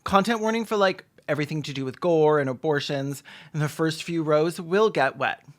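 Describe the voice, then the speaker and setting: A man's voice: fairly high pitch, normal speed. A man doing sarcastic, snarky comedic movie commentary as a video host, with dramatic emphasis. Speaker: film reaction video host.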